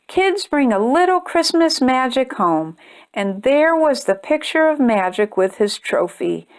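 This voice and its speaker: A woman reading a children's picture book aloud with expressive, deliberate storytelling and emphatic phrases. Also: a little high. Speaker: storytime reader and host.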